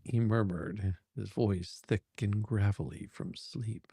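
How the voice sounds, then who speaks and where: A man narrating a steamy romance in drawn-out, amused phrases on a close microphone. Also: fairly low pitch, fairly even intonation, dark tone, slightly rough, no room sound. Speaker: narrator and reader. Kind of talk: dramatic reading of a steamy romance.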